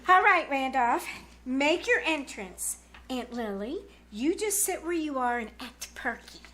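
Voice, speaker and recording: A woman's voice: high voice, lively intonation, a little slow, bright, forceful tone, exaggerated voice. A woman playing a bossy, scheming teenage girl in old-time radio comedy style, emphatic and wheedling. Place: live on a small theater stage.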